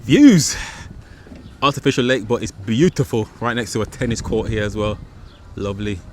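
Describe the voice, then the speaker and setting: Travel vlogger, man, loose and excitable, recorded outside with clear street noise. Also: lowish voice, moderate pace. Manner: excitable, slangy, lots of fillers. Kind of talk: casual vlog to camera. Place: outdoors on city streets and in a park. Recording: outdoor city noise behind.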